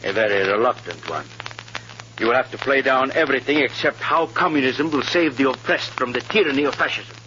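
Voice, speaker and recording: An actor playing a stern, suspicious male Soviet agent who gives orders in a measured, formal way in an old radio drama. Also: medium pitch, pushed and bright, close to an everyday voice. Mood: businesslike, stern. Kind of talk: 1950s radio drama acting. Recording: muffled, hissy old radio recording.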